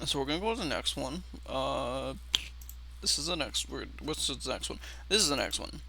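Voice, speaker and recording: A man's voice: lively intonation, moderate pace. A man hosting a casual reaction video, reading aloud and commenting, often stumbling over words, repeating phrases and swearing. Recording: clearly noisy, loud background rumble.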